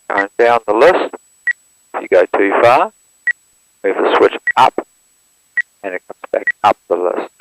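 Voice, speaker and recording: A man, walking through how to operate an aircraft radio step by step in a plain, steady manner, recorded through an intercom headset. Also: lowish voice, no room sound, rough recording. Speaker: instructor demonstrating an aircraft radio. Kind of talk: hands-on equipment walkthrough.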